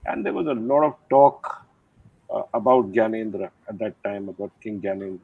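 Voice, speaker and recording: Retired older general, unhurried and reflective, husky voice, phone-quality dry audio. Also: male voice, on the low side, no echo. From India. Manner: measured, reflective, many "uh" pauses. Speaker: retired army general, guest. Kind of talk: interview conversation. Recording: thin phone-like line, dry.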